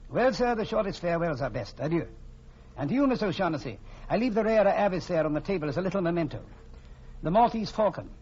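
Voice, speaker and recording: An actor playing a fat, cunning older gentleman villain with florid, formal speech, in the style of 1940s radio drama. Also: sits high, animated, quick pace, husky. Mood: courtly, resolute. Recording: muffled, faintly noisy old radio recording.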